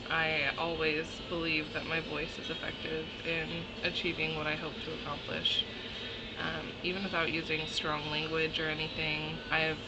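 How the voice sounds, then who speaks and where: A young woman and college student recording a class discussion post, hesitant and pausing often with ums. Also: sits low, heavy background noise.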